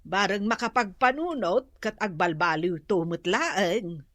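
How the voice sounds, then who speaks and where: A woman's voice: mid-range voice, animated, measured pace, a bit bright, no echo, studio quality. A woman with an Ilocano accent playing a patient, motherly adviser in a radio drama. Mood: patient, resigned. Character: patient, motherly woman giving moral advice to daughter.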